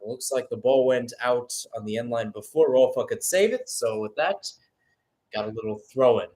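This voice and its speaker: A man's voice: mid-range voice, normal speed, darkish tone. A man giving live radio-style play-by-play commentary on a football match, announcer-like and speaking in short phrases.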